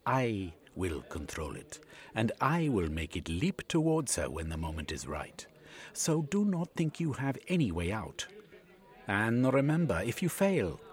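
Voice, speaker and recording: A man's voice: medium pitch, vocal fry, a little mellow, pitched above the performer's own voice. A man voicing a scheming, scornful male foreign spy villain who threatens and sneers.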